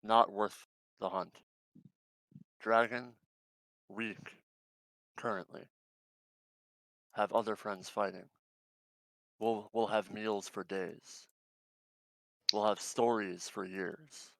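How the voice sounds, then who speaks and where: A man's voice: fairly low pitch, fairly even intonation, takes it slowly, creaky, pauses often, a bit bright, no echo, exaggerated voice, low-quality recording. Man doing Cliff, a terse wolfish hunter. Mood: snarling. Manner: clipped broken phrases through bared teeth.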